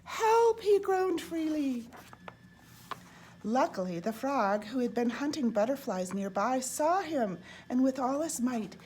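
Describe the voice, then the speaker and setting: A woman reads a picture book aloud for children. Her voice is a little high and her delivery is slow and expressive. There is audible noise behind her. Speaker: read-aloud storyteller. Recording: clearly noisy background.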